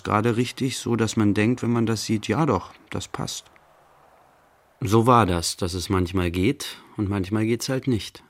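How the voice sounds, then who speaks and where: A pensive calm man in a radio play, lowish gravelly voice, thinking out loud in slow broken bits. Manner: halting, reflective, short fragmented phrases. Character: pensive man musing inwardly, mildly serene. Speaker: actor, narrator. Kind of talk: literary radio play monologue.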